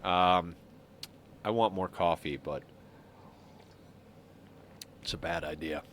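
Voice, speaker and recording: A man's voice: low voice, slow, a bit bright, slight background noise. A man with a stuffy, congested nose giving casual gameplay commentary as a streamer, muttering to himself and mocking himself with frequent chuckles. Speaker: game streamer.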